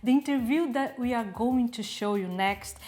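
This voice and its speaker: A woman in her forties co-hosting a TV talk show, giving calm advice. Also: on the high side, moderate pace. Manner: calm, advising. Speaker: co-host. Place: TV studio, indoors.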